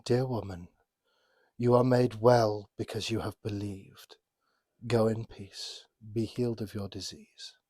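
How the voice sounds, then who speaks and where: A reader voicing a calm, authoritative male teacher in a dramatized scripture reading. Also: mid-range voice, unhurried, no echo, clean studio sound, natural voice. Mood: reassuring.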